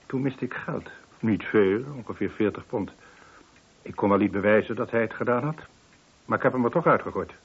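A muffled old radio recording of a wealthy man near sixty, weary and on the defensive. His voice is lowish and husky, and he explains himself haltingly, repeating words as he goes. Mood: irritated. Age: late 50s. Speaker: radio drama actor. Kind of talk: Dutch radio play acting.

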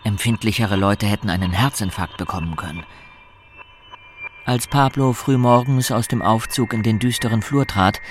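A man's voice: fairly low pitch, normal speed, noisy background, close to an everyday voice. A man narrating a suspense radio play as a composed storyteller. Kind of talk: scripted radio drama narration.

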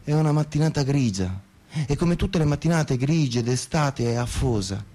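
An actor playing a cynical, sneering well-off banker in a profane, scornful radio drama monologue. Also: male voice, mid-range voice, expressive, normal speed, dark tone, lightly acted. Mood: smug, scornful. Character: cynical, well-off divorced banker, male, sneering and self-justifying. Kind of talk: theatrical first-person monologue, radio drama.

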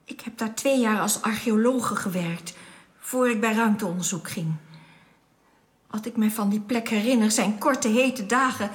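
An actress playing a composed, loyal alien guide in a radio drama, with an earnest way of speaking. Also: female voice, medium pitch, measured pace, natural voice.

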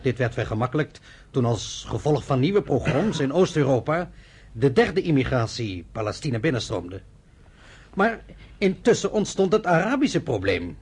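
An actor playing a young, energetic, pragmatic leader, reasoning persuasively in a Dutch radio play. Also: male voice, medium pitch, normal speed, breathy edge. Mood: reasoned, persuasive.

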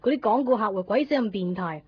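A woman's voice: mid-range voice, expressive, fast, a little hiss or hum, close to an everyday voice. An actress playing a reserved but restless young woman in a 1990s radio drama, with a Hong Kong Cantonese accent. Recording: dull 1990s radio recording.

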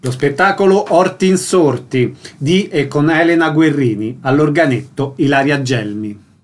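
A man's voice: fairly high pitch, a little slow, faint music behind. A man hosting on the radio, announcing shows and introducing guests. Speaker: radio host. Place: radio broadcast.

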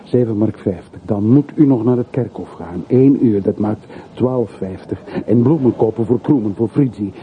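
An actor playing a desolate, curt traveller in a radio play, talking in short clipped sentences. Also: male voice, fairly low pitch, normal speed, close to an everyday voice. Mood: brisk, curt. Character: desolate, curt man parting at a railway station. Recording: muffled, noisy old radio recording.

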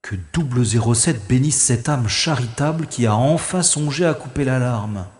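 A man's voice: mid-range voice, normal speed, a little mellow, close to an everyday voice. A man playing a wry, gallant adventurer in an audio drama, speaking formally and thinking aloud. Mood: relieved, musing. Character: wry, gallant male adventurer rescuing a young woman. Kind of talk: French-language audio drama acting with first-person narration. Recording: echoey long reverb, music behind.

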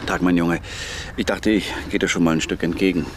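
A man's voice: low voice, fairly even intonation, brisk, background noise, natural voice. An actor playing a flustered, evasive father in a radio play, hesitant and faltering. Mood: nervous, evasive. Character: flustered, evasive father hiding his snooping.

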